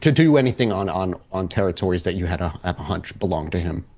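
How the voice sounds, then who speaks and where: A man working as game master, improvising narration casually and haltingly as he thinks aloud. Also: low voice, expressive, a little mellow.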